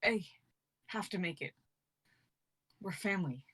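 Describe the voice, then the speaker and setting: Woman playing a slow, determined wounded fighter. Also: lowish voice, expressive, fairly bright tone, breathy edge, exaggerated voice. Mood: strained, resolute. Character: wounded, determined female fighter, loyal to family. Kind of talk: dramatic script reading.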